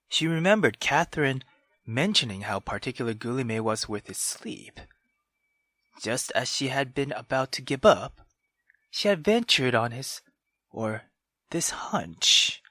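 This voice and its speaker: A man reading a visual novel aloud as a let's player, expressive and informal. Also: mid-range voice, no room sound, studio quality.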